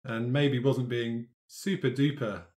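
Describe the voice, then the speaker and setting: A man's voice: mid-range voice, fairly even intonation, unhurried, darkish tone. Casual man with mid-range voice. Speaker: player in a tabletop roleplaying game. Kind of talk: casual character discussion.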